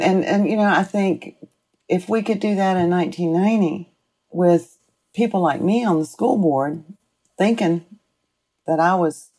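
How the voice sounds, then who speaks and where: An older woman being interviewed, reflecting on the past in a deliberate way with drawn-out phrases. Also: on the low side, a little mellow, slightly rough. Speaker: interviewee, former school board member.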